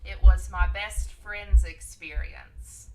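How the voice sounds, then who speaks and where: A woman with a hoarse, raspy voice from illness, telling a story in a slow, halting way. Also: on the low side, noisy background. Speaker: guest joining the stream. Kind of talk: casual storytelling. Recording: far from the mic.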